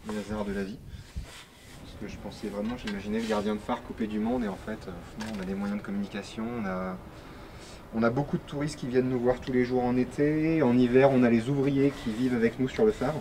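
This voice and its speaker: A man's voice: mid-range voice, fast talker. A man from the coast, explaining his work as a lighthouse keeper in casual interview talk. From France, Vendée coast. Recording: clearly noisy background.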